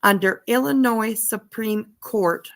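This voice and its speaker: A woman's voice: fairly high pitch, slow pace. A woman commenting casually and reading documents aloud, irreverent and giggly in manner. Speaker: livestream host.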